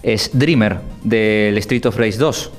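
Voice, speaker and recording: Casual guy from argentina on a gaming podcast, low voice, kinda halting, restarts mid sentence. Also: faint music behind. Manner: halting, restarts, animated storytelling. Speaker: podcast guest, game music commentator. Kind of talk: casual radio podcast chat.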